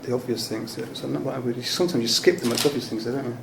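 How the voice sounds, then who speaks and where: A man's voice: medium pitch, steady tone, quick pace, creaky. A man conducting a casual interview with tentative, loosely phrased questions and an agreeable manner. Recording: echoey room with background noise.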